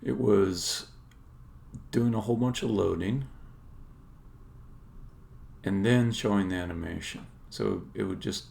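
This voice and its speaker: A man who develops games, talking casually and haltingly to himself as he live-codes on a stream. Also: lowish voice, gravelly, a little mellow. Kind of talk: casual live-coding commentary, thinking aloud. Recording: faint background noise with keyboard clicks. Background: computer keyboard typing and clicking.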